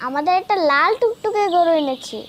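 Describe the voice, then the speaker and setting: Woman doing a proud little cartoon boy, super squeaky high and bouncy. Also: expressive, a little fast. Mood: excited, proud. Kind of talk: cartoon voice acting.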